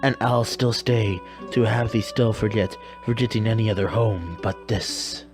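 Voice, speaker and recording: Dreamy lovesick young man put on by a comic dubber, lowered voice, slow earnest verse, music beneath. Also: fairly even intonation, darkish tone, lightly acted. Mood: devoted. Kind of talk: comic Shakespeare dub.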